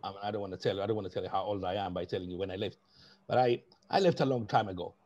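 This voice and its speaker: A man's voice: low voice, rapid-fire, darkish tone, highs cut off. A middle-aged man from Ethiopia speaking passionately and off the cuff as an interview guest, repeating phrases for emphasis. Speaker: interview guest, diaspora advocate. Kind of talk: impassioned unscripted interview talk.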